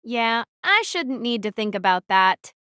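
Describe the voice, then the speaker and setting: A woman's voice: on the high side, big pitch swings, measured pace, pushed and bright, smooth, dry recording, clean studio sound, pitched above the performer's own voice, heavily acted. A woman playing an earnest, tender young female military student, protective and resolute, in an audio drama. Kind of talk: dramatized audiobook character dialogue.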